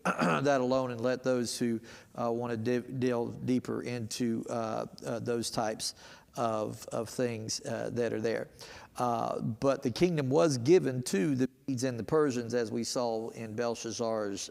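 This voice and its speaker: A raspy, gravelly male pastor teaches through a midweek Bible lesson, sounding tired and halting, filling gaps with "uh". His voice carries a long reverb off the walls of a church hall. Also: mid-range voice, a little mellow. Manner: halting, many "uh" fillers. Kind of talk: casual Bible teaching sermon. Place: church sanctuary.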